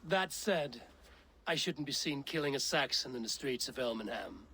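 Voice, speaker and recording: An actor playing a confident Viking warrior mentor in a video game, with a wry, commanding delivery. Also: male voice, mid-range voice, animated, normal speed, pushed and bright, slight background noise. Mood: challenging. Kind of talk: video game cutscene acting.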